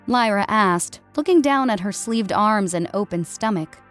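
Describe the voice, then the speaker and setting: Female audiobook narrator, mid-pitched, scripted fiction read over faint background music. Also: expressive, moderate pace.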